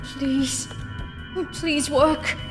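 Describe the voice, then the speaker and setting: An actress playing an earnest, loyal, plain-spoken fleet recruit in a science fiction audio drama. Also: female voice, sits high, fairly even intonation, very slow. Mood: desperate, pleading. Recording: long hall reverb, music behind.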